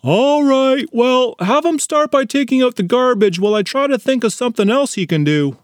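A man's voice: very high-pitched, animated, normal speed, dry recording, clean studio sound, pitched above the performer's own voice. A man reading a children's story, voicing a wry, teasing father. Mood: puzzled.

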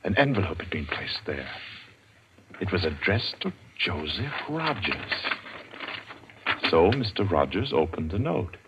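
Deep-voiced 1940s radio announcer. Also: male voice, very animated, measured pace, heavy background noise. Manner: formal, theatrical and emphatic. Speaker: announcer and narrator. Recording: dull lo-fi old radio recording with music.

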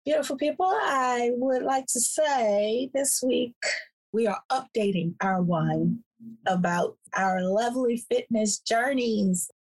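A woman co-hosting a casual podcast with a hoarse voice, talking in a lively, easygoing way and laughing often. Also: on the high side, takes it slowly, poor audio. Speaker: podcast co-host. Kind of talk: casual chat.

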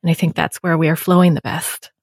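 Woman, podcast host, thoughtful, lowish voice, dry close sound. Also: level tone, quick pace, darkish tone, husky, no echo. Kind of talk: conversational interview.

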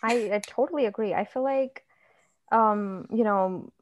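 A woman from India, a guest author chatting in an interview, soft-spoken and reflective, often trailing off quietly. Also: medium pitch, a little slow, a little hiss or hum. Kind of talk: casual interview chat.

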